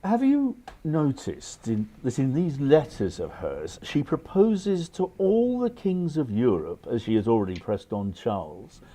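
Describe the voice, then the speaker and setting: A man's voice: mid-range voice, huge pitch swings, a little slow, hardly any pauses, dark tone. A man playing a suave, cynical English earl in a radio drama, with an urbane, dry, politely sardonic manner. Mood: worldly, persuasive. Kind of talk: stage-style radio drama acting.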